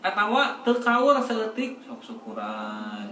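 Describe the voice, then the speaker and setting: A man's voice: high voice, wildly swooping pitch, slow. Echoey, jovial West Javanese man, distant mic. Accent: Sundanese-speaking West Java. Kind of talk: casual explanatory group chat in Sundanese. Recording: echoey, distant mic, loud background noise.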